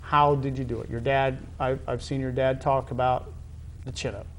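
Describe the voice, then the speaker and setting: A man's voice: medium pitch, flat delivery, normal speed, a little hiss or hum. A middle-aged American man hosting a conversational sports interview, speaking emphatically and putting questions to his guest. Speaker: talk show host, high school athletic director.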